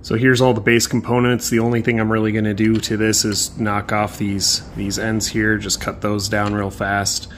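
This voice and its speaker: A man giving a casual hands-on explanation in a halting, thinking-aloud way. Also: fairly low pitch, monotone, fairly bright tone, slight background noise. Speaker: hobbyist tutorial maker.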